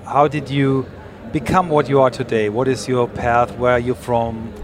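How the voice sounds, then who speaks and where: Male podcast interviewer, medium-pitched, conversational, busy crowd behind. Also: flat delivery, moderate pace. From Germany.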